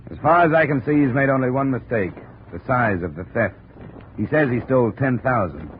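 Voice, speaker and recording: A man's voice: mid-range voice, lively intonation, close to an everyday voice. Man playing a hard-boiled radio detective, lo-fi. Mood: tough, pointed. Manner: brisk, probing, sardonic. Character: hard-boiled, wry male insurance investigator. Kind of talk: 1950s radio detective acting and narration. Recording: scratchy, narrow-band 1950s radio recording.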